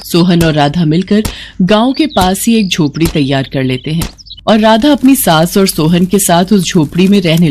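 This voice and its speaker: A woman's voice: fairly low pitch, a little mellow, slight background noise. A woman reading scripted narration for a cartoon bedtime story as the storyteller.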